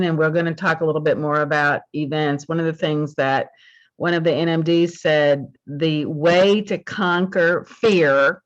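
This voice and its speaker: A woman hosting a team video call, thanking speakers and encouraging the team. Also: low-pitched. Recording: muffled video-call audio.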